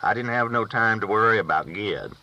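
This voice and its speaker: A man's voice: fairly low pitch, brisk, sharp tone. A man reading a novel aloud as a first-person storyteller, with folksy rural phrasing, on a dull recording. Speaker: audiobook reader.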